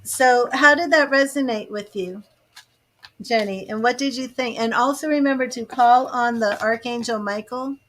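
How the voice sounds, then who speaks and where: A woman's voice: fairly high pitch, moderate pace, a bit bright, a little hiss or hum. A woman giving live tarot readings, chatting to viewers by name and musing over the cards. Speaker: tarot reader.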